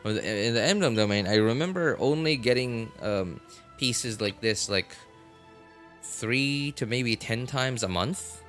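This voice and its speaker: A man giving casual, halting gameplay commentary as a streamer, repeating himself as he thinks aloud. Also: medium pitch, expressive, fairly bright tone. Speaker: game streamer. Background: game music.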